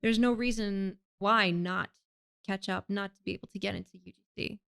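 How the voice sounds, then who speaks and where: Smooth-voiced woman, podcast guest. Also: mid-range voice, fairly even intonation, normal speed, fairly bright tone. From Greece. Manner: chatty, laughs often, many ums. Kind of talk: casual interview chat.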